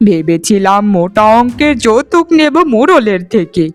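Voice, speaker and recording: A woman's voice: medium pitch, animated, normal speed, soft background music, close to an everyday voice. A woman voicing a greedy, self-pitying older village mother-in-law who laments in cartoon melodrama style. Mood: lamenting, regretful. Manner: lamenting, repetitive wailing.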